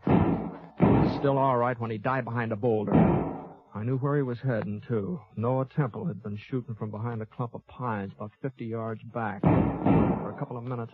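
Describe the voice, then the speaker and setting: A man's voice: medium pitch, steady tone, moderate pace, close to an everyday voice. Man playing folksy Old West gunfighter, dull old radio. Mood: tense, measured. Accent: American. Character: laconic, folksy, fair-minded Old West gunfighter narrating his tale. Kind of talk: 1950s radio western acting, first-person narration. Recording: dull lo-fi vintage 1950s radio recording.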